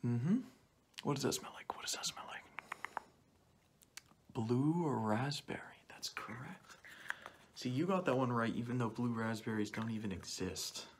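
A young man speaking softly through a cloth mask in an ASMR roleplay as an examiner, often dropping into whispers and repeating short phrases close to the mic. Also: mid-range voice, normal speed, pauses often. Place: small plain indoor room. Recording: clearly noisy home recording with clicks.

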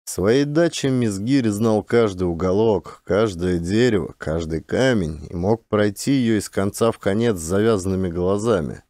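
A man narrating a literary short story as an audiobook, in a measured, expressive storytelling manner. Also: fairly low pitch, no echo, clean studio sound.

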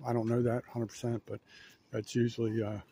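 Easygoing guy doing a fishing walkaround, soft dark tone w/ some fry, kinda halting, outside with a bit of noise. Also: medium pitch, little pitch movement. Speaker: outdoor fishing vlogger. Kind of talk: casual narrated walk-around. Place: outdoors on an ocean fishing pier. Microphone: handheld camera, held by speaker.

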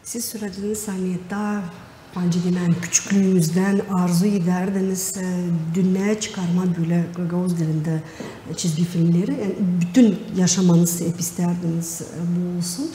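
Woman host with asking questions, lowish voice, really echoey room. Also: fairly even intonation, moderate pace, warm tone. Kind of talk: studio interview. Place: TV talk studio. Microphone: lapel. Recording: echoey room with long reverb, faint noise.

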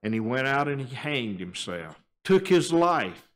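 An older man preaching a sermon at a church pulpit, moving between quiet, low stretches and raised, emphatic appeals. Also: sing-song, a little slow. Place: church sanctuary, standing at a wooden pulpit. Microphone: pulpit microphone, filmed by a fixed camera.

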